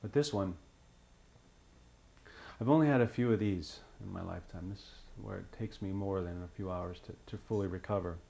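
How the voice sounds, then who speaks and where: A man's voice: sits low, brisk, creaky, mellow. A man playing a mild, candid interviewee in an audio drama, speaking in a hesitant, reflective way. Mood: matter-of-fact.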